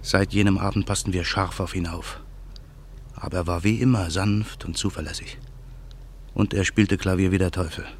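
A man's voice: low-pitched, steady tone, moderate pace, slight background noise. An actor playing a brooding man waiting to take revenge, narrating his memories tensely in a radio play. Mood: grim. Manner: tense, grave inner monologue. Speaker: narrator and main character.

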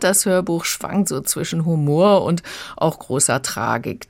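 A woman reviewing audiobooks in a conversational radio style, explaining in measured phrases. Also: low-pitched, dry recording.

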